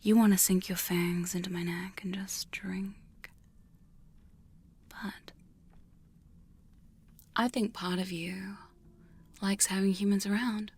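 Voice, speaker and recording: A woman acts out a sly vampire huntress for an intimate ASMR roleplay. Her voice is husky and airy, often sinking into a whisper, at an unhurried pace. She sounds defiant and coaxing, taunting her foe right up against the microphone. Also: medium pitch, fairly even intonation, a little mellow. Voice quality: breathy, whispery. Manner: taunting, intimate, drawn-out phrasing with sudden firmer lines. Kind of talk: ASMR hypnosis roleplay, second-person. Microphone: close-up studio condenser and binaural microphone.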